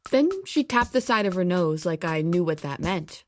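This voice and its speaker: A woman's voice: lowish voice, animated, normal speed, lightly acted. Exasperated, sarcastic young woman played by an actress. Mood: wry. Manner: sarcastic, grumbling. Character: grudging, exasperated young woman, dutiful daughter and mechanic. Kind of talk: audio drama acting and narration. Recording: music under the voice.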